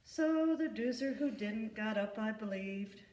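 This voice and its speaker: A woman reading a rhyming children's story aloud in an expressive, emphatic storytelling manner. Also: a little high, unhurried. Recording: echoey room, distant from the mic.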